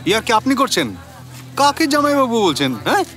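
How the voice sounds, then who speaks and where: A man's voice: extremely high pitch, big pitch swings, a little fast, a bit bright, faint music behind, exaggerated voice. A man playing a bewildered, suspicious brother-in-law in a radio drama, questioning incredulously with a West Bengal Bengali accent. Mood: indignant, baffled.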